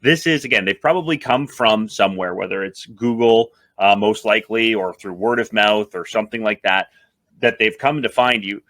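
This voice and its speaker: Excited podcast host guy, real bright crisp-edged voice, very dry sound, chatting away. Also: fairly low pitch, moderate pace, no echo. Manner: enthusiastic, excited. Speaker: podcast host, marketing advisor. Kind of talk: conversational podcast discussion.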